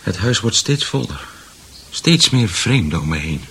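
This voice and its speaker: An actor playing a middle-aged, put-upon, suspicious householder who grumbles and repeats himself in a radio drama. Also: male voice, on the low side, very animated, a little slow, bright, forceful tone, exaggerated voice. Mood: uneasy, grumbling. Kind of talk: Dutch radio drama acting.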